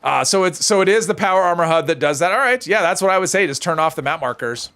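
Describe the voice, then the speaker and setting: A man with a somewhat high voice and a gravelly edge. He chats casually with viewers while playing a game on a live stream, answering their questions as he goes. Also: expressive, quick pace, a bit bright. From United States. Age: older than high school, adult.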